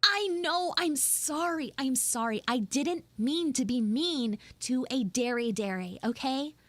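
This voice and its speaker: A woman voicing a defensive, flustered tsundere anime schoolgirl who acts mean but is secretly soft, in an improvised livestream roleplay. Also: high-pitched, expressive, dry recording, exaggerated voice. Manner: defensive, pouty, halting and repetitive. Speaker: livestreamer and VTuber voice actress. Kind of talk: improvised live audio roleplay with chat asides.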